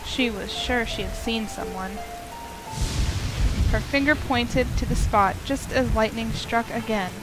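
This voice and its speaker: A woman's voice: on the high side, measured pace, poor audio. A woman narrating a visual novel as a scripted story, reading descriptive prose aloud. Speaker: narrator, game player. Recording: loud music and rain behind. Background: game music and rainfall.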